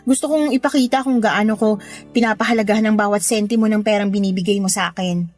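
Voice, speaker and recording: A woman's voice: medium pitch, fairly even intonation, fast, a little mellow, faint music behind, natural voice. A woman playing a grateful, studious younger sister, speaking sincerely in a radio drama. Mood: grateful, reassuring. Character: grateful, devoted younger sister, a diligent student. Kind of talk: Tagalog radio drama dialogue.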